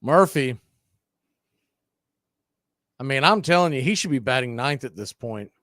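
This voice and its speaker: A man calling baseball play-by-play for a fan radio stream, mixing pitch calls with blunt opinionated asides. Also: lively intonation, unhurried, fairly bright tone, no room sound, studio quality.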